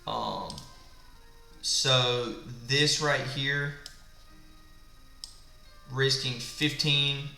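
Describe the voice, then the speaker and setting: A man in an echoey room with a gravelly, smoker-like voice. He sounds tired late at night and walks through his trading setup, asking and answering his own questions. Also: medium pitch, extremely slow, pauses often, pushed and bright. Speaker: trading instructor. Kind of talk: live screen-share trading walkthrough. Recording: echoey room with faint background music.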